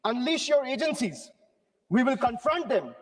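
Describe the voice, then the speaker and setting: A man's voice: very high voice, very animated, normal speed, fairly bright tone, long reverb tail. A man from Bengal giving a rhetorical speech in parliament, declaiming in parallel phrases. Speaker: member of parliament. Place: large parliament chamber.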